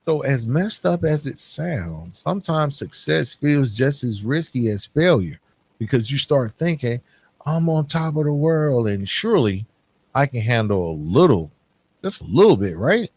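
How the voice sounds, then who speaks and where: A man's voice: medium pitch, very animated, unhurried, darkish tone, slightly rough. A man hosting a solo podcast, talking candidly and informally in a half-scripted, conversational way, checking in with "right?" as he makes his points. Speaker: podcast host.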